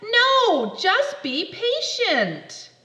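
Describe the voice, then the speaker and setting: A woman's voice: fairly low pitch, little pitch movement, slow, echoey room, recorded from a distance, pitched below the performer's own voice. A woman voicing a bossy caterpillar friend who scolds emphatically. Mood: exasperated.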